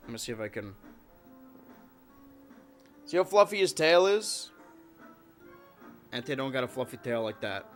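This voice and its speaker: A man's voice: medium pitch, sing-song, moderate pace, long pauses, soft background music. A man live-streaming from home with a stuffed-up nose, chatting and joking with his viewers and his cats. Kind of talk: casual live-stream chat.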